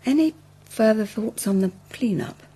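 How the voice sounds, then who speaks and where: An actress playing a gracious older farmhouse mother in a radio soap, husky. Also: fairly high pitch, animated, moderate pace, dark tone, slight background noise. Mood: gentle, concerned. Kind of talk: naturalistic radio soap acting.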